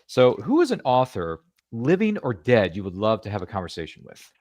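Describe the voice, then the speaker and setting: A lower-voiced man hosting a podcast, talking in a chatty, rambling way and tossing in jokey asides. The sound is dry and close, with no room in it. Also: huge pitch swings, fairly quick, a little mellow, no room sound. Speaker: podcast host. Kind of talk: casual interview chat.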